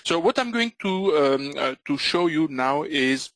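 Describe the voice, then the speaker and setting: A man's voice: fairly high pitch, expressive, a little slow, fairly bright tone, no echo. A middle-aged Belgian man, not a native English speaker, presenting a live trading webinar with many fillers and restarts. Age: middle-aged or older.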